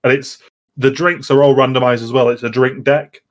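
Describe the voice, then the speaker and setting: A man in a casual chat, explaining things in a halting, rambling way. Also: mid-range voice, flat delivery. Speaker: podcast host.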